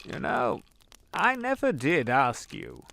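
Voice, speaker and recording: A man's voice: fairly high pitch, wildly swooping pitch, takes it slowly, gravelly, sharp tone, slight background noise, exaggerated voice. A man playing an urbane, sly old devil in an audio drama, wordy and courteous, savoring his phrases. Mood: musing, admiring. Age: older.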